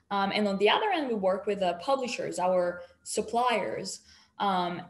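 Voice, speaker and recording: Israeli-accented woman, mid-pitched voice. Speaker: company executive, panelist. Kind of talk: self-introduction and company explainer.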